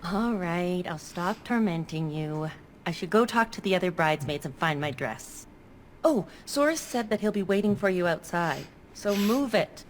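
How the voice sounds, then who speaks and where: A woman's voice: on the low side, expressive, normal speed, slight background noise, lightly acted. An actress playing a cheery, teasing young female elf cousin in a video game. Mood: playful.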